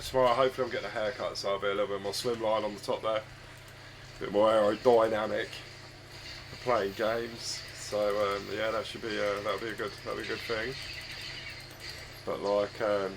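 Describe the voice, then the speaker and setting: A man with a husky, croaky voice, hoarse from a chest cold, chatting casually and rambling hesitantly while streaming a game from home on a desk microphone. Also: fairly low pitch, flat delivery, normal speed, noisy background. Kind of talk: casual live-stream chat. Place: home room with his dog.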